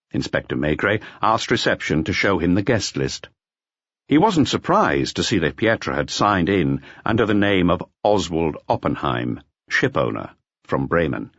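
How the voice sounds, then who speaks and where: A man's voice: low voice, no room sound, dull audio. A man reading a detective novel aloud as a scripted audiobook narration.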